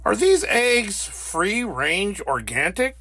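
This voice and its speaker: A man's voice: high voice, sing-song, slow pace, sharp tone, no room sound, studio quality, pitched above the performer's own voice, heavily acted. A man voicing a stern, curt, grumpy male bear in a children's storybook. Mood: fussy.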